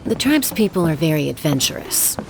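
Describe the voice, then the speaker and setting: Low-voiced, self-assured peacekeeper. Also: female voice, sing-song, fairly quick, background noise. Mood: teasing. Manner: composed, assured. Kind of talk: anime game dub acting. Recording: loud game music behind.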